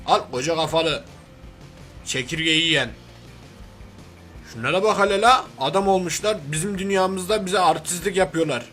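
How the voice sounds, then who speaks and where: A man's voice: high voice, normal speed, pauses often, pushed and bright. A man voicing a crude, hot-headed, hairy oaf of a superhero in a comic game cartoon, with brash, slangy, grumbling street talk. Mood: angry, boastful. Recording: music behind the voice.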